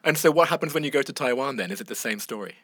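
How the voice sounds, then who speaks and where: A man's voice: medium pitch, animated, very fast, fairly bright tone, husky, no room sound, clean studio sound. A man hosting an interview, asking questions and giving short, encouraging replies.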